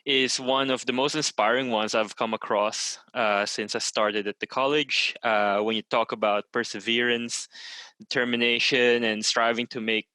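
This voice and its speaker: A man hosts an interview podcast and welcomes his guest in a friendly tone. His voice is bright and forward, and the recording is dry and close. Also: mid-range voice, flat delivery, normal speed, clear, resonant tone, dry recording. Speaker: podcast host.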